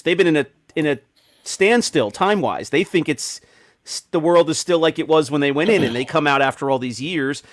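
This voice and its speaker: A man's voice: a little high, dry recording, clean studio sound. A man hosting a casual panel discussion. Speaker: podcast host.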